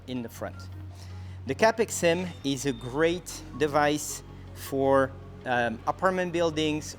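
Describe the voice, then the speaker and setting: A man with a medium-pitched voice presents a product comparison. He explains features step by step in a conversational, semi-scripted style. Music plays behind his voice.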